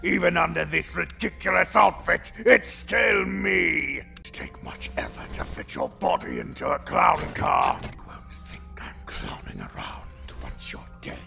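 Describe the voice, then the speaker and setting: A man voicing a sadistic, playful killer clown in a cartoon, swinging between hushed menace and loud mockery. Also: on the high side, huge pitch swings, unhurried, vocal fry, few pauses, music in the background, full character voice. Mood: taunting, sinister.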